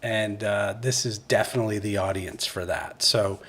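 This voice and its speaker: A man with a fairly low voice hosts a casual live interview. He talks conversationally, as a podcast host does with guests. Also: fairly even intonation, normal speed, fairly bright tone.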